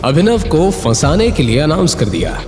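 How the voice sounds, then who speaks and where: A man's voice: fairly high pitch, huge pitch swings, moderate pace. A man narrating a scripted audio drama in a suspenseful, dramatic storytelling style. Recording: echoing reverb, music bed.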